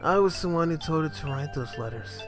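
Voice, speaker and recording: A man's voice: on the high side, lively intonation, fairly quick, fairly bright tone, soft background music. A man voicing an aloof, wistful young older brother in a game fan dub, talking in a casual, reflective way.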